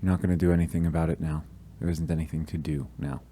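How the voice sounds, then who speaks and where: A man leading a guided meditation, giving gentle, calm instructions with long pauses between phrases. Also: very deep voice, slight background noise.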